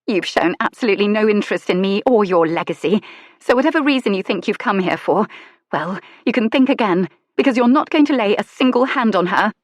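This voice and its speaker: A woman's voice: medium pitch, moderate pace, no room sound, close to an everyday voice. A woman voicing a fierce young lady in a period storybook, defiant and protective. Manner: defiant, sharp.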